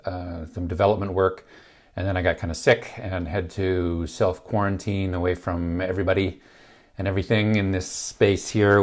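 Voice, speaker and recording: A man in middle age talks casually to camera from a large office space. His voice sits a little low and still carries some leftover gravel from being ill. He rambles, circling back with fillers and restarts as he thinks aloud. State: slightly gravelly from illness. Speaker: filmmaking channel host.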